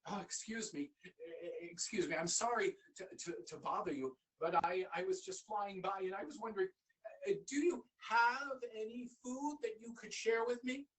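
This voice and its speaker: A man's voice: high voice, moderate pace, a bit bright, distant mic, poor audio. A man voicing a timid little bee that stammers and apologizes as it asks for food. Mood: pleading.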